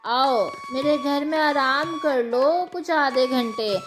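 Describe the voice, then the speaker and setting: Kindly old village woman, acted by a female narrator, high voice, slow, gentle and coaxing, cartoon story, music behind. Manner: gentle, coaxing, addressing the listener as child. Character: kind, patient old village woman asking for help. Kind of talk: Hindi cartoon moral story acting.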